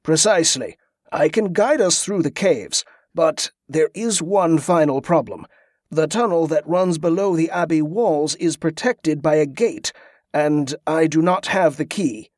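A calm monk explaining things in a formal, measured tone, as the male narrator reads him. The voice is a man's, a touch high, with a close, dry sound. It plays as audiobook storytelling. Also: no echo, lightly acted.

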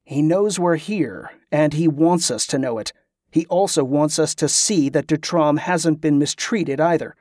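A man voicing a calm, resolute leader of a party in an audiobook story. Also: on the high side, unhurried, dry recording, studio quality, natural voice. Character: Teska, calm, decisive male leader.

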